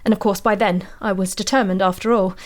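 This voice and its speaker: An actress playing an anxious, haunted woman antiquarian in an audio drama, telling her tale in a measured, confiding way. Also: medium pitch, fairly even intonation, natural voice. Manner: measured, confiding, uneasy storytelling.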